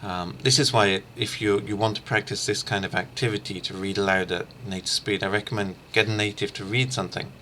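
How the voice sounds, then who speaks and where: A middle-aged man giving an informal instructional screencast as a language teacher, haltingly thinking aloud. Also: lowish voice, steady tone, sharp tone, a little hiss or hum. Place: small room lined with shelves, indoors.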